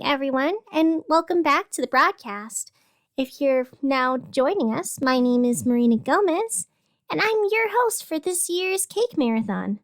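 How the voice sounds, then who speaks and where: A woman's voice: high-pitched, dry recording, studio quality, exaggerated voice. A woman playing an earnest, flustered radio host in a scripted audio drama, chatty and a little hesitant as she bravely soldiers on. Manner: hesitant, self-reassuring, chatty. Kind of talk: scripted fiction podcast in the form of a community radio broadcast. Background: music under the voice.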